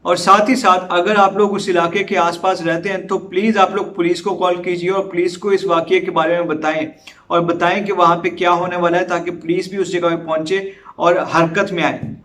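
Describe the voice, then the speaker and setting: A man's voice: high voice, little pitch movement, a little fast, fairly bright tone. A Pakistani man presenting news commentary in an earnest, urgent manner, dropping in English words and appealing directly to viewers. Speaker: presenter of a news and discussion channel.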